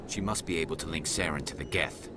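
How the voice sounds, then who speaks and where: A man's voice: rumbling low, fast talker. A man voicing a determined, earnest alien police investigator in a video game, speaking intently over ambient noise. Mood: intent, resolute.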